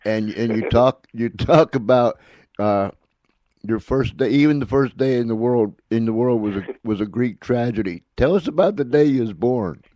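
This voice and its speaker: A man hosting a casual interview, asking questions haltingly and repeating words as he goes. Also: medium pitch, mellow, no room sound. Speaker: radio show host, interviewer.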